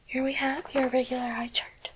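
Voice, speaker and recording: Woman acting a softly whispering, high-voiced demon doctor. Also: little pitch movement, background noise, close to an everyday voice. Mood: calm, intrigued. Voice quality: soft, breathy whispering. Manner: slow, gentle, attentive instructions in short phrases. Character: calm, courteous female demon doctor examining a patient. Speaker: ASMR performer. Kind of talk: ASMR medical exam roleplay, whispered and soft-spoken.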